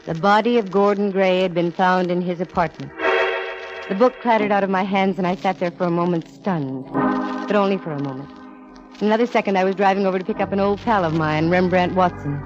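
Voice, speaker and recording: A shrewd female private eye played by an actress talks in a low, composed voice with a wry edge. It is vintage radio drama acting. The sound is dull and old, with faint music underneath. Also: brisk, lightly acted. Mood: narrating, wry.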